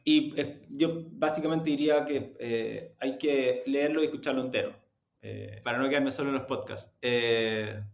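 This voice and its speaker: Male interview guest, Chilean accent, medium pitch. Thoughtful, self-qualifying conversational delivery in a roomy, echoey recording. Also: normal speed, gravelly. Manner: reflective, often rephrasing and qualifying himself. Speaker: interview guest, podcast production executive.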